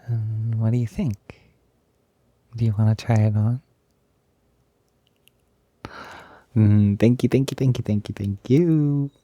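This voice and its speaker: A man playing an excited, doting, gentle young roommate in an intimate roleplay audio, soft-spoken and breathy, hesitant but gushing and reassuring. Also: on the low side, moderate pace, no room sound, close to an everyday voice. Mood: eager, coaxing.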